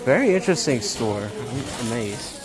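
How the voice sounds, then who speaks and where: A man giving casual, halting vlog commentary like an absorbed hobbyist as he walks around a large store. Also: mid-range voice, expressive. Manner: halting, absorbed enthusiast's narration. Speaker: amateur vlogger. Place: large home improvement store, indoors. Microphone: handheld phone while walking. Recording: store ambience, noticeable background noise.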